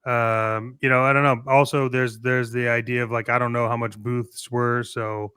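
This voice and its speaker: An American man co-hosting a toy show, chatting casually and giving opinions in a measured, hesitant way. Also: mid-range voice, little pitch movement, bright, forceful tone, clear, resonant tone, no echo, studio quality. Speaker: co-host of a toy collecting show. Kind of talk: casual radio-show chat.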